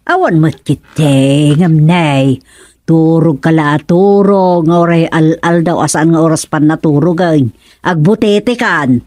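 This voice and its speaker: A woman's voice: sits low, slow pace, darkish tone, no echo, clean studio sound. A woman playing a nagging, teasing village wife in a radio comedy drama, drawling and chiding with an Ilocano accent.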